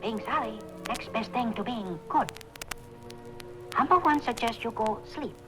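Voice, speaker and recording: A wise, polite toy sage speaks in short, formal phrases. The voice is a little high, under loud music. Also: female voice, expressive, measured pace, poor audio. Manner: clipped, formal.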